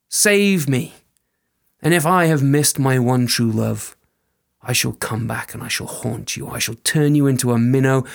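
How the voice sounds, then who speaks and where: A man's voice: medium pitch, vocal fry. A man voicing a wrathful fairy woman. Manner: stern, pronouncing curses.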